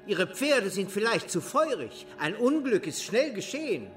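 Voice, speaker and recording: An actor playing a fussy, officious small-town apothecary in a radio drama, excitable and cautioning. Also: male voice, high voice, unhurried, soft background music. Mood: fussing, alarmed.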